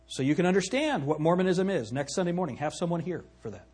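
A man preaching a sermon from the pulpit, emphatic, swinging from loud peaks to low, quiet lines. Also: animated, quick pace, slightly rough. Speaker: pastor.

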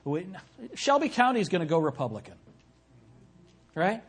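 A man preaching a sermon from the pulpit, emphatic, swinging from loud peaks to low, quiet lines. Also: wildly swooping pitch, moderate pace, husky. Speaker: pastor.

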